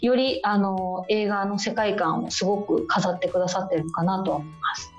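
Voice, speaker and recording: A woman's voice: mid-range voice, fairly even intonation, normal speed, muffled recording. Woman, earnest film publicist, courteous but searching for words, roomy sound, faint music underneath. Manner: polite, earnest, hesitant phrasing. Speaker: film publicist, radio guest. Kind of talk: conversational film promotion on a radio talk show.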